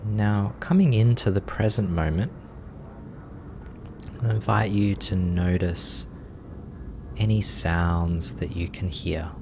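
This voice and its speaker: Guy doing guided meditation, really deep voice, super slow, gentle, lots of long pauses. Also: vocal fry, noisy background. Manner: gentle, unhurried, with long pauses between short phrases. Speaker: meditation teacher.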